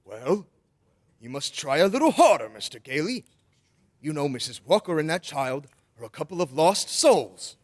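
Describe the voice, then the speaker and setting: Man acting a jolly, high-pitched husky old Santa. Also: very animated, normal speed. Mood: soft, earnest. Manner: chuckling, coaxing, old-fashioned grandfatherly phrasing. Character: jolly, kindly old man who is Santa Claus, playful. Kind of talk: old-time radio drama acting.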